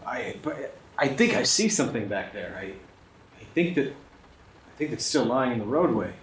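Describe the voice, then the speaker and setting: A man's voice: medium pitch, sing-song, moderate pace, far from the mic. A man playing a tabletop game in character, with formal, old-fashioned wording. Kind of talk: in-character roleplay. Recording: echoey room, off-mic, faint noise.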